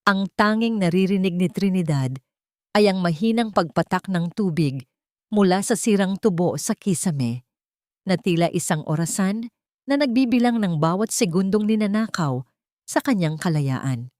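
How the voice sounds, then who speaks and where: Low-pitched woman narrating a drama audiobook. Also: animated, moderate pace, a little mellow, dry recording, clean studio sound. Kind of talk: dramatic scripted story narration.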